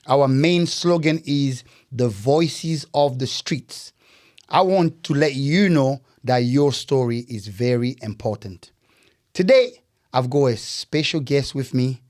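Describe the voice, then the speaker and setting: A man's voice: a little high, a little mellow. A man hosting a podcast, interviewing in a casual style and often repeating words and phrases back. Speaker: podcast host.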